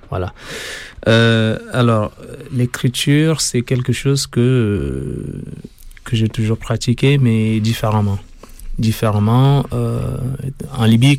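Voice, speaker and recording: A man in his thirties from Sudan, a guest author speaking slowly and earnestly in a radio interview, with many pauses. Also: fairly low pitch, darkish tone, no echo. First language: Arabic. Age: 30s.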